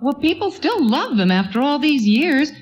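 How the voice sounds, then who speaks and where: An actress playing a practical, supportive, quick-witted office assistant in a radio play set in the 1940s. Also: female voice, sits high, very animated, muffled recording. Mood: concerned, encouraging.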